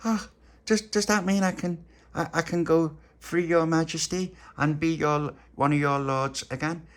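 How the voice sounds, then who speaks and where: A man's voice: fairly high pitch, steady tone, a little slow, voice pushed up, natural voice. A man voicing a cheeky young boy who protests his innocence, stammering. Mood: protesting.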